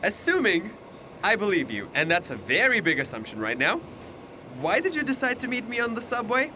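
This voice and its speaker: A man's voice: high voice, wildly swooping pitch, a little fast, background noise. A man playing a bewildered, skeptical young university student in an audio drama, questioning and incredulous. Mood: puzzled, wary.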